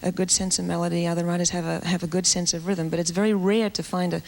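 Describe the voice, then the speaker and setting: A woman from Australia with a low alto voice, hosting a TV music show and chatting eagerly with a guest, full of fillers and restarts. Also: flat delivery, quick pace, creaky, rough recording. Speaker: host. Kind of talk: casual on-stage chat with a guest. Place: TV studio stage. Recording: 1970s TV broadcast with loud band music. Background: loud band music.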